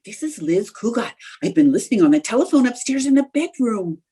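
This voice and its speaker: A woman playing a high-voiced, playful housewife. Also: sing-song, a little fast, dark tone, slightly rough. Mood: sly, eager. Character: playful, scheming, dramatic young housewife. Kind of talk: old-time radio comedy acting.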